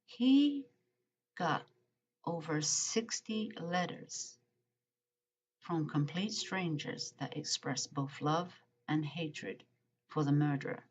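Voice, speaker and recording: A woman's voice: sits low, lively intonation, slow pace, a little hiss or hum. A woman vlogger reading a letter aloud and commenting, hesitant with frequent ums and uhs, in muffled lo-fi audio. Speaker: true-crime vlogger.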